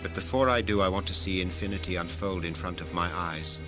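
An actor playing a ruthless, ambitious corporate director in a science-fiction audio drama, commanding and grandiose. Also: male voice, sits low, fairly quick, close to an everyday voice. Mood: grandiose, driven. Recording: music behind the voice.

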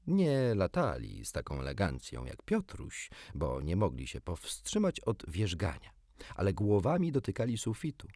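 A man's voice: sits low, huge pitch swings, moderate pace, darkish tone, no room sound, clean studio sound. A man narrating a children's storybook expressively, as an audiobook reader.

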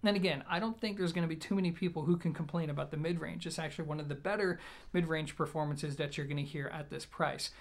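A man in his thirties giving a product review to camera in a measured, organized way. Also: on the high side, fairly even intonation. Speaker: audio gear reviewer. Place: small plain indoor room.

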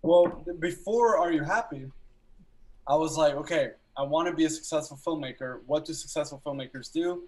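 Relaxed young man, slightly high voice. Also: normal speed, low-quality recording. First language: Albanian. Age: young adult. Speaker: guest filmmaker. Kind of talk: casual interview chat. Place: small room at home.

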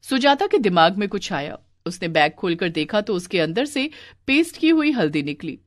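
A woman's voice: mid-range voice, big pitch swings, normal speed, no echo. A woman narrating a scripted family moral story as a storyteller.

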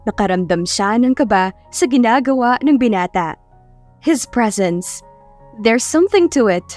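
A woman's voice: a little high, lively intonation, measured pace, a little mellow, faint music behind. A woman narrator reading a love story as dramatic scripted audiobook narration.

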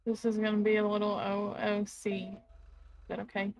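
A woman's voice: medium pitch, monotone, normal speed, creaky, darkish tone, low-quality recording. A woman talking casually as a paramedic partner in online game roleplay.

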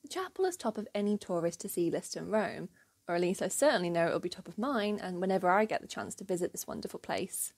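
Woman, history narrator, mellow warm tone, measured scripted delivery. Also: mid-range voice, expressive. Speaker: history channel host.

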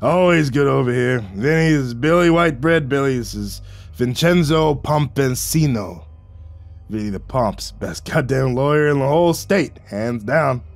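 A man playing a booming gangster boss. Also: measured pace, gravelly. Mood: contented. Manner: booming, chummy, street slang. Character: big jovial foul-mouthed male gangster boss. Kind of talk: audiobook character voice. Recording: music behind the voice.

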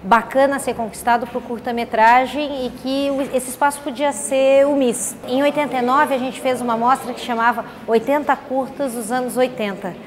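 A woman speaking in a documentary interview in a measured, reflective way about the festival she runs. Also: high voice, steady tone. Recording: long reverb, clear background noise.

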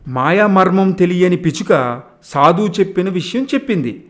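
A man's voice: high voice, expressive, moderate pace, a little hiss or hum. A man narrating a scripted moral tale as a storyteller. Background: music.

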